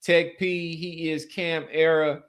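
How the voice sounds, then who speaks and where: A man's voice: a little high, flat delivery, takes it slowly, clear, resonant tone. Casual male sports podcast host. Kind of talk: casual sports chat and community sign-off.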